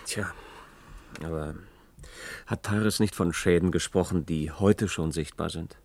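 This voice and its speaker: An actor playing a calm, skeptical, well-read questioner in a radio play, with a dry, ironic manner. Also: male voice, low voice, measured pace, dry recording. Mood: amused, doubting. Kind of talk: German science-fiction radio play acting.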